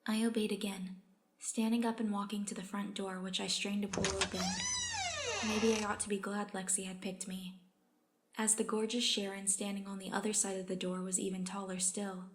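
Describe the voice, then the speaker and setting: A woman's voice: mid-range voice, level tone, moderate pace, close to an everyday voice. A woman reading aloud a first-person story of a meek, put-upon character. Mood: subdued, resigned.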